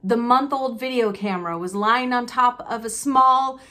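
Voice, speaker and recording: A woman narrating a children's novel in an expressive read-aloud style. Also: on the high side, normal speed.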